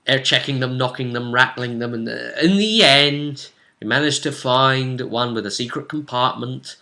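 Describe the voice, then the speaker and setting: A man with a bright, forceful voice and mid-range pitch talks through a role-playing session as its game master. He explains things casually and conversationally, often checking in with a tag question. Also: normal speed.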